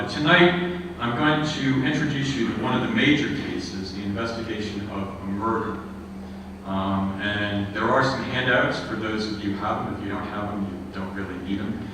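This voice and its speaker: A man giving a history lecture in a measured, earnest way, with frequent filler sounds. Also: on the low side. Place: lecture hall. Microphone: room microphone. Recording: echoey hall, distant mic, background noise.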